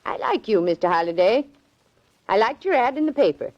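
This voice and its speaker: An actress playing an eccentric, plucky older woman in a 1940s American radio drama, brisk, prim and forthright. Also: fairly high pitch, sing-song, dry recording. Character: brisk, no-nonsense old spinster lady, eccentric and plucky. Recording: dull, band-limited vintage radio recording.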